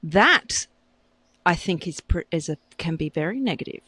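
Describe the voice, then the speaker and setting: A woman's voice: lowish voice, animated, moderate pace, pushed and bright, husky, no echo. A woman co-hosting a podcast in casual chat, playful and teasing. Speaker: podcast co-host.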